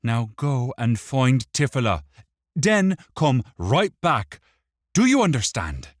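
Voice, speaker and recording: A small young fantasy hero, played by a male narrator, pitched well above the reader's own voice. He sounds urgent, bossy and easily exasperated, in a close, dry audiobook recording. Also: big pitch swings, a little slow, dry recording. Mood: demanding. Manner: urgent, demanding. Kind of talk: audiobook character voice.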